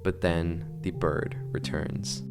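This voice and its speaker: A man's voice: low-pitched, little pitch movement, takes it slowly. A man reading scripted, explanatory narration in the style of an online horror video breakdown. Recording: music under the voice. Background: background music.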